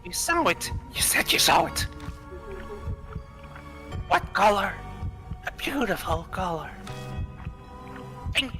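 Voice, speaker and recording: A man's voice: high voice, big pitch swings, slow, pauses often, bright, forceful tone, soft background music, pitched above the performer's own voice, heavily acted. A man voicing a menacing, ice-cold female spirit in a horror game, taunting and questioning insistently. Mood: probing, menacing. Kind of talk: horror game character read-aloud.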